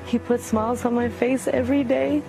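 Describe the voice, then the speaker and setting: A woman's voice: fairly high pitch, steady tone, a little fast, vocal fry, over music, rough recording. A woman speaking informally and sorrowfully in an emotional news interview. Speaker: interviewee, bereaved mother.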